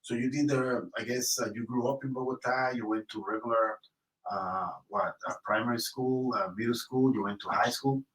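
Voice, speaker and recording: An effusive man hosts an interview, thanking and welcoming his guest and stumbling through restarts. His voice is picked up from a little distance, so it sounds roomy. The pitch sits mid-range. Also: fairly even intonation, normal speed. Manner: warm, effusive, many restarts. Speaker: interview host. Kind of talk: casual interview. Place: high-rise building in the city.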